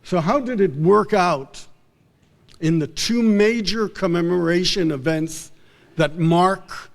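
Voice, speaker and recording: A male professor giving an unscripted academic lecture in a halting way, often repeating and restarting his phrases. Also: a little high, creaky.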